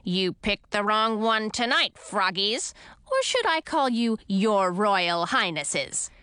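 A woman's voice: medium pitch, wildly swooping pitch, a bit bright, no room sound, clean studio sound, full character voice. A woman voicing a sly young witch from a storybook, taunting with mock sweetness and gloating menace. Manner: mock-friendly, taunting, drawn-out words.

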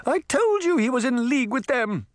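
A man's voice: high voice, sing-song, normal speed, no room sound, voice pushed up, full character voice. A man voicing a haughty, indignant queen in a storybook, imperious and declamatory. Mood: accusing.

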